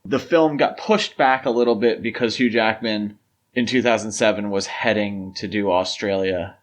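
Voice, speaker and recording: A man's voice: on the low side, normal speed. A man hosting a podcast, talking through film history in a casual, conversational style. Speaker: podcast host.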